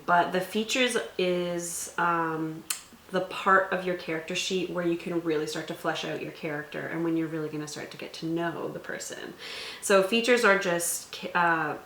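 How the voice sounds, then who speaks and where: A woman's voice: lowish voice, fairly bright tone. A woman hosting a tabletop roleplaying show, talking in a casual, explanatory way. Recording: roomy echo, faint background noise.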